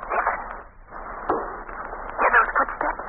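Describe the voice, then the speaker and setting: An actress playing an anxious young heroine in an old radio thriller, earnest and melodramatic. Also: female voice, takes it slowly, natural voice. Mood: uneasy. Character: anxious young heroine, a detective's female companion. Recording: scratchy, noisy, narrow-band old radio recording.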